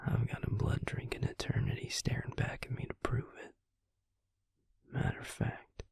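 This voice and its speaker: A man playing a tender, regretful male vampire in an intimate audio roleplay, confiding haltingly in a soft, breathy, gravelly whisper. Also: no room sound, lightly acted. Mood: confiding, solemn.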